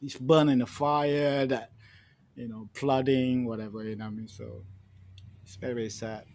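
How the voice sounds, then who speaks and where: A man's voice: medium pitch, steady tone, moderate pace, a little mellow. A middle-aged man with a Malaysian accent, telling anecdotes casually and emphatically, repeating phrases and often saying "you know". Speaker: guest storyteller and music archivist. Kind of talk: casual anecdotal storytelling on a panel.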